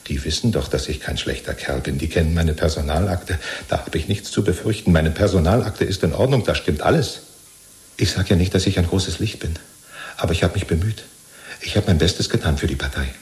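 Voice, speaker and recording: An actor in a 1970s German radio play, playing a chatty, servile jailed party loyalist who rambles and justifies himself. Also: male voice, low voice, a little fast, darkish tone, lightly acted. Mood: self-justifying. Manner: rambling, ingratiating, chummy, seeking reassurance.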